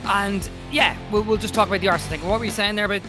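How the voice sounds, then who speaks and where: A man co-hosting a podcast over an online call, chatting in an animated, mock-indignant and teasing way. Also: high-pitched, very fast, over music. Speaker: podcast co-host. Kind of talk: casual chat.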